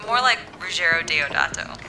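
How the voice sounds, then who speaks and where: A woman's voice: on the low side, expressive, moderate pace, noisy background, close to an everyday voice. An actress playing a bookish young woman, dry and teasing, in a naturalistic radio drama. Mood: curious. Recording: music under the dialogue.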